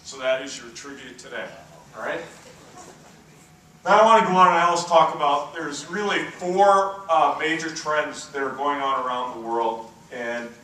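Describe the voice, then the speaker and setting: Booming-voiced man in an echoey hall. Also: normal speed, distant mic. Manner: relaxed, self-deprecating storytelling with frequent "uh" fillers. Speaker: keynote speaker. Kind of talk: conference keynote speech. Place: large conference hall.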